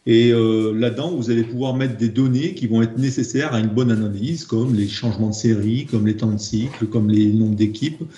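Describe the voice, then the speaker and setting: A man's voice: mid-range voice, fairly even intonation, normal speed, few pauses, warm tone. A man with a French accent explaining slides as a trainer, hesitant and often repeating words as he goes. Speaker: presenter, trainer in process improvement. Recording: dull, echoey room recording.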